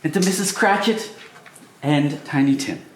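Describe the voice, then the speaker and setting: A man's voice: a little high, a little slow, lightly acted. A man playing a cheerful, good-natured young nephew, earnest and pleading, in an amateur comic play reading. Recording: very echoey room, distant, faint noise.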